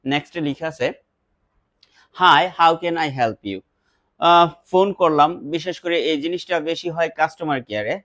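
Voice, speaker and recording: A man with a Bangladeshi accent teaching spoken English, instructive, saying example phrases slowly and emphatically and then explaining them. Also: on the high side, a bit bright, no echo.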